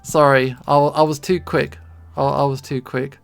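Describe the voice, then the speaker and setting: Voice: mid-range voice, little pitch movement, normal speed, no room sound, faint music behind. A streamer with a southeast English accent, chatting casually and playfully while drawing, pronouncing words with care. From southern England. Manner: clearly enunciated, pronounces T's; playful, chuckles often.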